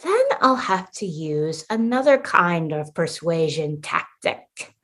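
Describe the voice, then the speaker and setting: A woman's voice: low voice, lively intonation, takes it slowly, fairly bright tone, heavily acted. A woman acting in a comedic radio play as a disgruntled, petty schemer trapped in a holiday sitcom, theatrical and defiant. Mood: scheming.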